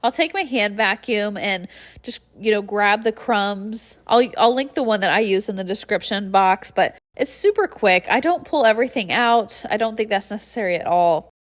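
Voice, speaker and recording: A woman's voice: medium pitch, moderate pace. Stuffy-nosed, nasal woman. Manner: friendly and chatty, with light laughs and heavy breathing. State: congested, stuffy nose. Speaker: cleaning channel host. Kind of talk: casual instructional voice-over.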